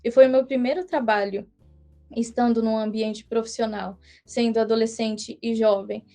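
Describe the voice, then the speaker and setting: Earnest young woman, slightly high voice. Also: fairly even intonation, warm tone, faint music behind. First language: English. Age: young adult. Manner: earnest, measured storytelling with small restarts. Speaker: guest missionary. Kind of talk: casual podcast interview.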